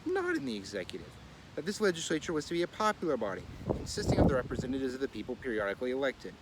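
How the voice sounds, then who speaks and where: A man reading an old political essay aloud in a measured, oratorical way, now and then stumbling and correcting himself. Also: medium pitch, sing-song. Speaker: reader of a daily series. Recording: clear street and vehicle noise.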